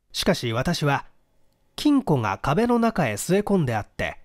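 A man narrating a detective story as an audiobook reader, in formal, measured literary prose. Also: fairly high pitch, darkish tone, no room sound, studio quality.

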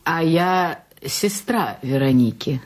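A woman's voice: deep voice, animated, slow, darkish tone. A woman acting a polite but insistent, wounded sister-in-law in a radio play. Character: Thérèse, persistent, wounded sister-in-law paying a visit. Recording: music bed under the voice.

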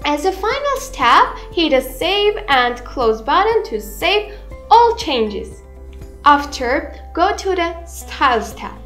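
A woman in her twenties giving a scripted, instructional software tutorial in a deliberate manner. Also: high voice. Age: 20s. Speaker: tutorial presenter. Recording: roomy echo, music underneath. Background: background music.

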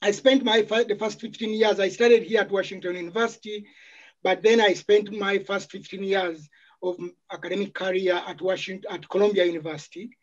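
A man's voice: sits high, steady tone, brisk, dull audio. A middle-aged man from Uganda with a Ugandan accent, opening a guest lecture on a video call and stumbling over repeated words. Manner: hesitant, repeats words while starting.

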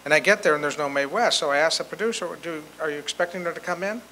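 A man's voice: on the high side, fast talker, sharp tone. An American man over sixty telling anecdotes to an audience in a plain, conversational way. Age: 60s or older. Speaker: guest speaker at a convention. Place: hotel meeting room with seated audience. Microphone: handheld microphone. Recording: noisy hotel meeting room.

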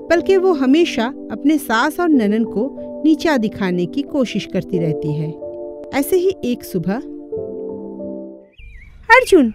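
Woman, story narrator, high lively voice, scripted storytelling, soft music behind.

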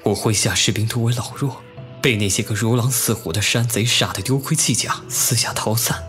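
An actor playing a proud, teasing and wistful young nobleman officer in an ancient-costume audio drama, with measured classical phrasing. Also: medium pitch, fairly bright tone, soft background music, lightly acted. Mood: grim, recounting. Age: young adult.

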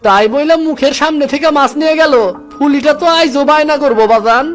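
A man's voice: very high voice, fast, fairly bright tone, soft background music. A man voicing a fisherman's eager, loving young son with a rural Bangladeshi accent in a cartoon. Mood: disappointed. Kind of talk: cartoon voice acting.

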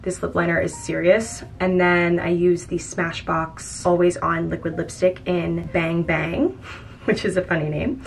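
A woman's voice: fairly low pitch, little pitch movement, unhurried, gravelly, soft background music. A young adult woman talking casually and informally to her vlog audience in slangy everyday speech. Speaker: lifestyle vlogger. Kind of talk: casual chatty vlog talk. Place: indoors at home and in a house.

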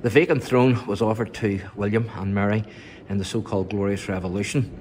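A man's voice: fairly low pitch, fairly even intonation, fairly quick, a little mellow, a little hiss or hum. A middle-aged man, filming a museum walkthrough on his phone and reading the display panels aloud with small stumbles and casual asides. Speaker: vlogger touring a museum. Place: indoor museum exhibit room.

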